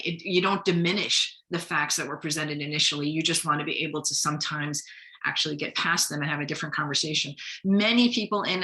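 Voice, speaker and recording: A woman's voice: sits low, bright, forceful tone. A woman moderating an online question-and-answer session, appreciative and at times stumbling over her words. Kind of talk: moderating a webinar Q&A.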